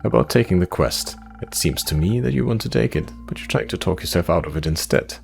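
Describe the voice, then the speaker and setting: A man playing a patient, dry-humoured tavern keeper in a visual novel, speaking in a measured, deadpan way. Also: low-pitched, gravelly, heavily acted. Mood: deadpan, probing. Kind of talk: visual novel character read-aloud. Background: music.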